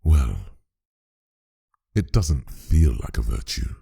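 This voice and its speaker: Very deep gravelly weary priest. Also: male voice, expressive, slow pace, mellow, full character voice. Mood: weary, concerned. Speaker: voice actor. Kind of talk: audio drama acting.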